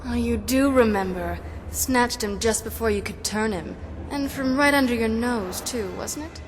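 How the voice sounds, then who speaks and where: An actress playing a tough, sarcastic half-vampire heroine with a dry delivery. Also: female voice, on the high side, moderate pace, a little hiss or hum, natural voice. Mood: taunting.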